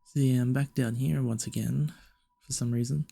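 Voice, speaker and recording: A man's voice: medium pitch, steady tone, normal speed, creaky, dark tone. A man giving casual, puzzled, hesitant gameplay commentary, trailing off and repeating himself. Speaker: gaming video narrator. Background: game music.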